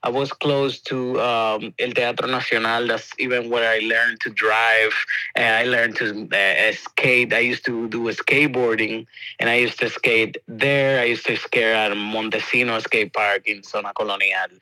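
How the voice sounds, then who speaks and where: Dominican man with a bright voice. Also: mid-range voice, little pitch movement, unhurried, dry recording, low-quality recording. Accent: Dominican Spanish. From Dominican Republic. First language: Spanish. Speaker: musician guest. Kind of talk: casual interview answers.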